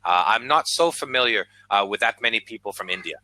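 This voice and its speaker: Animated American man tutoring on a call. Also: lowish voice, dry recording, low-quality recording. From United States. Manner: patient, encouraging, speaks slowly and clearly with many fillers. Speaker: online English tutor. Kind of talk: casual conversation lesson. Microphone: video call.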